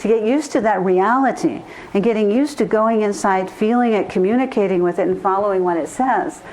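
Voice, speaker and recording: A woman's voice: mid-range voice, fairly quick, a little mellow, low-quality recording. A woman giving an informal spiritual talk as a therapist and teacher, speaking earnestly and repeating phrases for emphasis.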